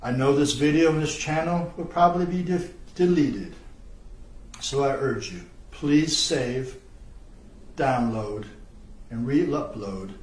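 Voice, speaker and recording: A man delivering a scripted statement in a solemn, formal, measured manner, as a whistleblower. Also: medium pitch. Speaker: self-described whistleblower. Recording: echoey room.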